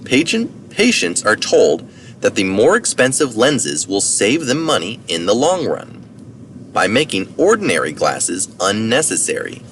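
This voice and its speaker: A man with a fairly low voice reads an article aloud, his intonation moving up and down a lot. He takes care over technical words and keeps an informal touch. He sounds some way from the microphone. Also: wildly swooping pitch, a little slow, fairly bright tone. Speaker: reader of articles. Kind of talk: reading an article aloud with brief asides. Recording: distant mic with faint background noise.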